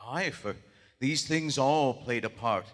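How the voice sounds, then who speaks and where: An actor playing a shaken, haunted writer of weird fiction in a live radio horror play, with formal, archaic, dramatic diction. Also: male voice, medium pitch, slow pace, lightly acted. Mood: shaken, insistent. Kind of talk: live 1920s-style radio horror theater acting. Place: large theater stage, live performance. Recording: live stage in echoing theater hall.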